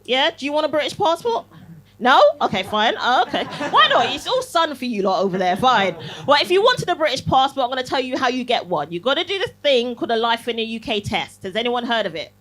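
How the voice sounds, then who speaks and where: A woman's voice: high voice, very animated, quick pace, background noise. A young woman from Barbados performing a cheeky stand-up set in a comedy club, teasing the crowd and laughing through her lines. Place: comedy club, live audience.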